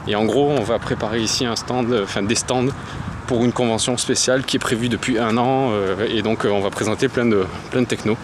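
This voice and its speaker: A man's voice: mid-range voice, steady tone, brisk. A young man from France chatting casually while walking through the city, with lots of fillers and slang. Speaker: vlogger. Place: city streets outdoors, walking. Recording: loud traffic noise outdoors.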